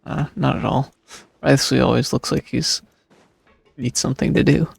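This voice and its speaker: A man streaming a game with casual commentary in a gravelly, husky voice. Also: medium pitch, steady tone, moderate pace, no room sound.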